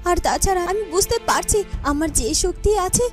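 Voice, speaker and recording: A troubled young cartoon heroine acted by a woman speaks in a very high voice. She is earnest and devoted, pleading with emphatic repeated words. Music runs beneath her melodramatic lines. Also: expressive, fairly quick. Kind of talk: melodramatic children's cartoon dialogue. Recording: music under the voice.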